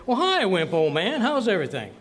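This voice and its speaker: Casting: male actor as excitable folksy American husband, scheming and wisecracking, very animated intonation, radio comedy. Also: high voice, brisk, background noise. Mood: jovial. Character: blustery, scheming, excitable middle-aged husband. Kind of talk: old-time radio comedy acting. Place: clubhouse, live performance.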